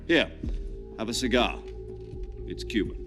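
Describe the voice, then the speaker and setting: Measured special-forces commander, music behind. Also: male voice, fairly low pitch, animated. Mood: measured, confiding. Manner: measured, authoritative, knowing. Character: composed, authoritative male special-forces commander. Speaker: military commander. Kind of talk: dramatic game cutscene dialogue.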